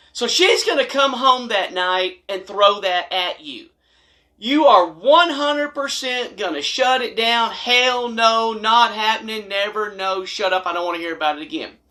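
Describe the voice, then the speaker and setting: Bright-voiced, emphatic American man. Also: high-pitched. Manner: folksy, blunt, emphatic, animated. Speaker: video host telling stories and advice. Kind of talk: humorous storytime monologue to camera.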